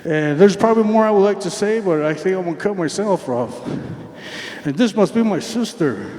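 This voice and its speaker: An earnest man, higher-voiced than most, speaking up at a community meeting. He presses his questions emphatically and sometimes stumbles over repeated words. The sound rings out in a large, reverberant hall. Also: expressive, moderate pace, a little mellow. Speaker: community member raising questions. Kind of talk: public comment at a community meeting. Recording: echoey hall with long reverb.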